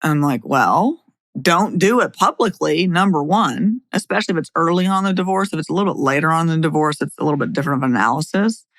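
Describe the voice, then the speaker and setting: A woman's voice: low voice, fast talker, fairly bright tone, no room sound. A middle-aged woman speaking as a podcast host and attorney, in a blunt, plain-spoken way. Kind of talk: scripted narration and opinionated podcast talk.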